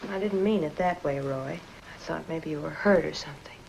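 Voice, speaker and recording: An actress playing a lonely, devoted young American woman in a 1950s film noir, breathy, hushed, wistful and guarded. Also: low voice, moderate pace, slight background noise, rough recording. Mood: hurt. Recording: old film soundtrack with music underneath.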